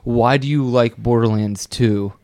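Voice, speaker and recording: A man hosting a casual podcast interview, asking questions in a halting way and often stumbling over his words. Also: medium pitch, steady tone, darkish tone, dry recording. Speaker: podcast host.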